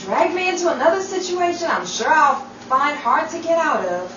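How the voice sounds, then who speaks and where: Woman acting an exasperated high-pitched sidekick. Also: sing-song, moderate pace, lo-fi sound. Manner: scolding, dry, theatrical. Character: exasperated, sardonic female sidekick, no-nonsense adult. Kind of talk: 1940s radio comedy acting. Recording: roomy, distant, noisy with crowd sound.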